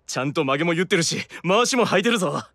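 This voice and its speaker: Proud, earnest demon, swooping dramatic delivery. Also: male voice, on the high side, expressive, quick pace, fairly bright tone, dry recording, studio quality. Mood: proud, hopeful. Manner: stiff, self-serious, dramatic delivery. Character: proud, earnest demon brother, worn out from brutal training. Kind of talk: Japanese anime-style audio drama acting.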